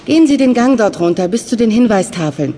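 An actress playing a polite, efficient university receptionist, giving information matter-of-factly in a sci-fi audio drama. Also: female voice, on the high side, lively intonation, fairly quick, mellow, a little hiss or hum, close to an everyday voice. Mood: polite, helpful.

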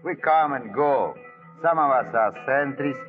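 An actor playing a roguish, garrulous guerrilla who teases with drawn-out theatrical relish in old radio drama style. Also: male voice, mid-range voice, lightly acted. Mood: boastful, teasing. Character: roguish, teasing male guerrilla, garrulous and cowed by authority. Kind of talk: 1940s radio acting. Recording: dull vintage radio recording, faint music.

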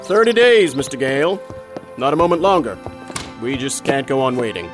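A man's voice: medium pitch, big pitch swings, normal speed, music in the background, natural voice. A man playing a firm, curt banker in an audio drama. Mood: stern. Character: unyielding male banker demanding payment. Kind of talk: full-cast audio drama acting.

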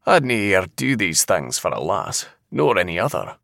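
Man playing a gruff, low-voiced clansman. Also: big pitch swings, a little slow, fairly bright tone, dry recording, clean studio sound, full character voice. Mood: indignant denial. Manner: gruff, indignant, emphatic. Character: proud, defiant, brooding male Scottish clansman. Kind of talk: dramatic audiobook character voice.

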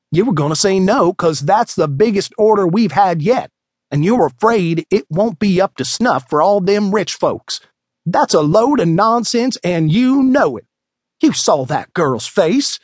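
A man's voice: high-pitched, no echo, studio quality, voice pushed up, exaggerated voice. A man doing a bossy, folksy woman cook. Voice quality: loud, scolding, down-home slang.

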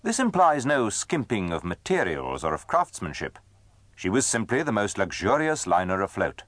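A man's voice: fairly low pitch, huge pitch swings, moderate pace, fairly bright tone, no room sound. A man narrating a dramatised history from a script.

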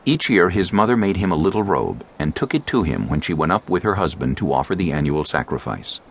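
A man with a deep voice narrates scripture from a script. His delivery is measured storytelling with animated, wide-ranging intonation.

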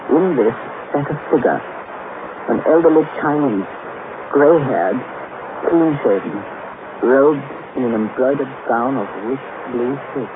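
An actor playing a grave storyteller who recounts an adventure in deliberate phrases, in 1930s radio narration. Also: male voice, mid-range voice, close to an everyday voice. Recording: very noisy, narrow-band 1930s radio recording.

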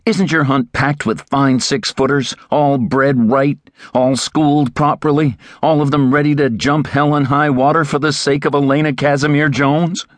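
A man voicing an eager, excitable young man who is lovestruck and pleads breathlessly. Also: fairly high pitch, moderate pace, dry recording, pitched above the performer's own voice, close to an everyday voice. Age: young adult. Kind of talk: audiobook character voice.

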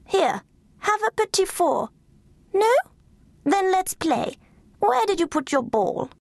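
A woman's voice: extremely high pitch, sing-song, slow, vocal fry, no room sound, voice pushed up, full character voice. A woman voicing a grand, fussy elderly duchess with a plummy, fluting manner.

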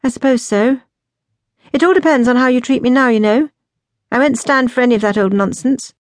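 A woman voicing a blunt, outspoken eighteen-year-old younger sister in an audiobook, sharp and direct. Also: a little high, little pitch movement, moderate pace, no echo, clean studio sound, pitched above the performer's own voice, lightly acted. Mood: wary, pointed. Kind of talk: audiobook character dialogue.

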